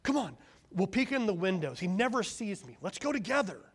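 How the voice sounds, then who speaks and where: A man's voice: on the high side, animated, moderate pace, creaky, fairly bright tone, husky, long reverb tail, exaggerated voice. A man voicing a smug, fault-finding young man who eagerly accuses his brother. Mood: smug accusing.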